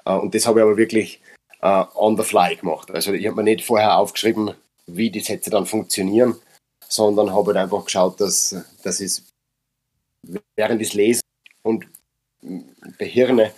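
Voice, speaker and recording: Brief: Austrian male actor, low husky voice, reflective podcast guest. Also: fairly even intonation, normal speed, long pauses, a little mellow. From Upper Austria. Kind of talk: casual podcast conversation.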